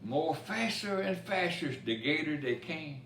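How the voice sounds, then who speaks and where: Slow-paced man reading aloud in a roomy space. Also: fairly high pitch. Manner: expressive storytelling, pausing to point out pictures. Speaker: story time reader. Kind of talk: read-aloud picture book in Cajun dialect verse with asides. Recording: roomy, distant mic with faint noise.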